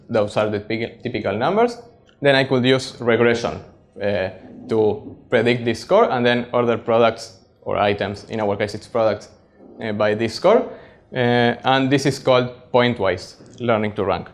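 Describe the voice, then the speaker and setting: Argentine-accented man giving a halting tech talk. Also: fairly low pitch. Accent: Argentine Spanish. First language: Spanish. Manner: halting, frequent pauses, plain explanatory. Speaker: conference speaker, data scientist. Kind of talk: technical meetup presentation. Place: meetup stage before an audience.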